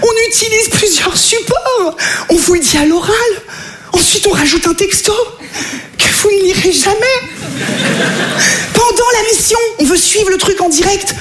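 A woman's voice: extremely high pitch, moderate pace, long pauses, fairly bright tone, reverberant, a little hiss or hum. A woman performing a stand-up comedy routine, talking directly to the audience in a chatty way. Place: comedy stage before a live audience.

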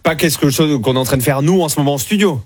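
A man hosting a lively evening phone-in radio show, joking, exclaiming and chatting affectionately with callers in a studio. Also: on the high side, fast, breathy edge, no room sound, faint music behind.

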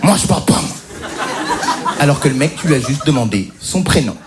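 A man from the French suburbs doing stand-up, his pitch leaping up and down as he acts out every character. He stalls on fillers before landing each line. Noise sits clearly under the recording. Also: a little fast, a loud crowd. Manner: animated storytelling with mock voices and hesitant fillers. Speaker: stand-up comedian. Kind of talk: stand-up routine.

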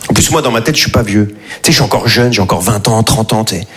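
A man's voice: lowish voice, quick pace, faint music behind. A man in his forties doing stand-up, self-mocking and chatty, on stage at a live show. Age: 40s. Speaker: stand-up comedian. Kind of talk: stand-up routine. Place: comedy stage before audience. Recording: live show with loud crowd.

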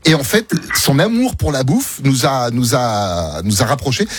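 A young French man telling a friendship story on a radio show, animated and laughing often. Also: medium pitch, measured pace, slightly rough, dry recording. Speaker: radio guest, former policeman turned cook.

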